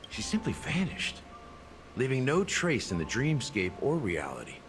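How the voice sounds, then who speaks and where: An actor playing a wry, middle-aged male investigator in a video game, telling things in a measured way. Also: medium pitch, wildly swooping pitch. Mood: frank, weary. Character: older male family investigator and drink mixer, wry, unhurried. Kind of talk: video game story voice acting. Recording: game music softly behind.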